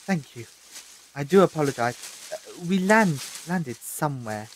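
An actor playing an excitable, anxious time-travelling Doctor in an audio drama, in a theatrical, exclaiming manner. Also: male voice, a little high, unhurried, slight background noise, low-quality recording. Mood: polite, puzzled. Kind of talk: full-cast audio drama acting.

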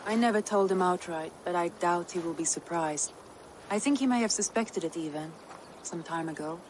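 A woman playing a composed, tender Viking noblewoman in a video game, speaking in measured, earnest, formal phrases. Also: lowish voice, noisy background, natural voice. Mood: tender, sincere. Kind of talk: dramatic video game cutscene acting.

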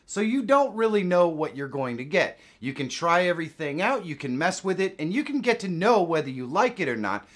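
Tutorial presenter: American male, fifties, lively intonation, casual and emphatic. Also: a little high, fairly quick, hardly any pauses. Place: small indoor home studio.

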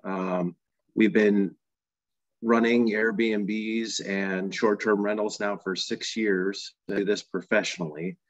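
A man's voice: lowish voice, flat delivery, slow pace, no room sound. A man who runs short-term rentals, making a plain public comment full of fillers on a call. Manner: plain, filler-heavy, clears throat. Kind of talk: public comment, spoken loosely. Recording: dry, dull call audio.

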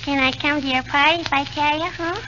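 A woman's voice: high voice, steady tone, a little fast, lightly acted. A woman playing a sly, pestering little girl with a childlike, wheedling delivery in 1940s radio comedy. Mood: sly, teasing. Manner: wheedling, catchphrase-prone. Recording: noisy, muffled 1940 radio broadcast.

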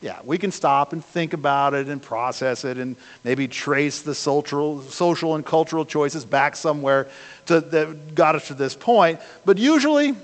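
An older man preaches from a pulpit, asking his congregation one rhetorical question after another in an easy, conversational way. His voice sits a little high for a man. The sound comes from a camera at the back of the sanctuary, so long church reverb trails every phrase. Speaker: pastor. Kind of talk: sermon. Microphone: far camera at the back of the church.

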